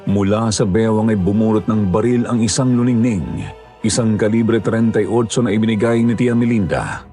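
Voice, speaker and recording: A man narrating a horror story in a steady, measured storyteller manner. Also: fairly low pitch, faint music behind.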